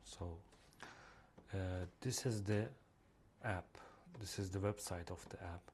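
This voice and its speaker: Turkish guy demoing an app, lots of uh's, kinda low flat voice, bit of hiss behind. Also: slow pace. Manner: hesitant, many fillers. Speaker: app creator demonstrating his reading app. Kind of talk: screen-recorded software demo.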